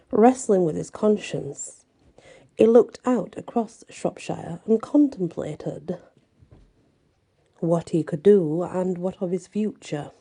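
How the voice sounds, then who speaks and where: A woman narrating a scripted folk tale in a measured storybook manner, with deliberate pauses between phrases. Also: medium pitch, warm tone.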